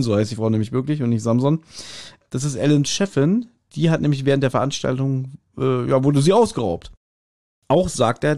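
A man's voice: mid-range voice, dark tone, dry recording, studio quality. A man hosting a podcast, casually recapping a story and acting out quotes as he goes. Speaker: podcast host. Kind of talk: casual chat recapping an audio drama.

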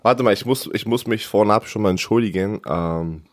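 A man co-hosting a podcast, sick and congested, talking casual sports in animated bursts with stammering restarts. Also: fairly low pitch, moderate pace. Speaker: podcast co-host.

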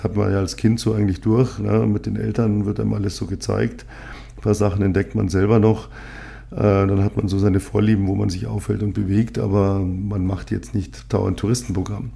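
A man in his fifties from Munich, chats through a solo podcast in a loose, rambling way. His voice sits low and dark, with a husky, gravelly edge. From Munich, Germany. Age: 50s. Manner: rambling, frequent fillers, relaxed. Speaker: podcast host, agency owner. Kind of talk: casual solo podcast monologue.

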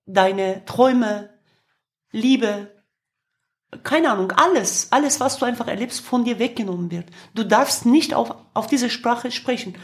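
A fifty-year-old woman from Kurdistan with a Kurdish accent, giving reflective interview answers in halting, searching phrases as a non-native speaker. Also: fairly high pitch. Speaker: interviewed musician.

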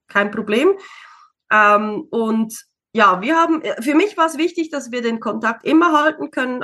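Woman, 30s, interview guest; relaxed chatter, light laughs, slightly raised pitch. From Switzerland. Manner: chatty, many fillers, laughs easily. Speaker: interview guest, single mother. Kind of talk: casual conversational interview.

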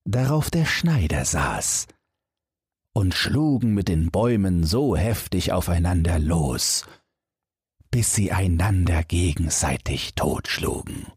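Deep, husky male storyteller, slow and expressive. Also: no room sound, clean studio sound. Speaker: audiobook narrator. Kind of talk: scripted fairy tale narration for bedtime.

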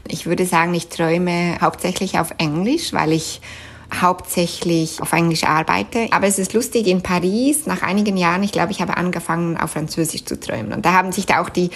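Low-voiced Swiss woman, thoughtful answers. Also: steady tone, brisk. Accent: Swiss German. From Switzerland. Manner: thoughtful, with frequent fillers and restarts. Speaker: interview guest. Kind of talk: remote interview answers.

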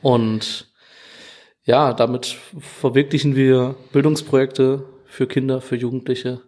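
A man's voice: mid-range voice, level tone, slow pace, a little mellow. A man talking casually as a podcast guest, explaining things as a music educator. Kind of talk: casual interview talk.

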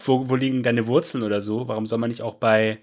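A man in his thirties who officiates weddings, talking in a relaxed, rambling way on a podcast. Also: medium pitch, fast. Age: 30s. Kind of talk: casual chat.

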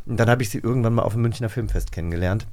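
A man in his early forties, a podcast host and actor, telling stories in a calm, reflective way with frequent "äh" fillers. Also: fairly low pitch, very fast, no echo. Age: early 40s. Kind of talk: casual conversation.

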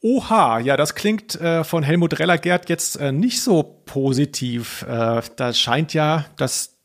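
A man's voice: fairly high pitch, measured pace. A man co-hosting a podcast, chatting informally and wryly while thinking aloud. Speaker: podcast co-host. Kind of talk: casual podcast chat.

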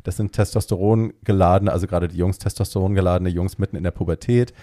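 A man co-hosting a podcast, casually retelling a film's plot in a lively way and dropping in English phrases. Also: low-pitched, fast, dry recording, clean studio sound. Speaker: podcast co-host. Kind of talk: casual chat retelling a film.

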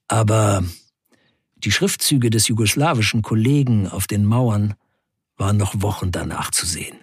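A man playing a defiant 1970s factory worker on strike, recounting events in a deliberate, declamatory radio play narration. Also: fairly low pitch, slightly rough, dry recording, studio quality. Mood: bitter, defiant. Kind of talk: dramatic first-person radio play narration.

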